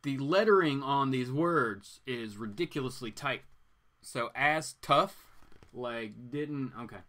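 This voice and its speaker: A man hosting a casual livestream, chatting to viewers in a rambling, animated, geeky way. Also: mid-range voice, slow. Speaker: host of a comics reading show.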